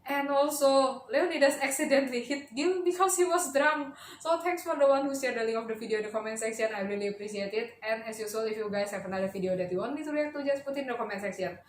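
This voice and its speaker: A woman's voice: on the high side, fast talker, hardly any pauses. Woman doing casual reaction video chat, roomy echo, bit of noise in the background. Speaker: reaction channel host.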